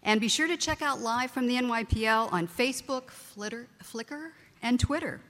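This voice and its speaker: A woman making housekeeping announcements before an event, in a plain, slightly halting way. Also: a little high, expressive, fairly bright tone. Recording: reverberant auditorium.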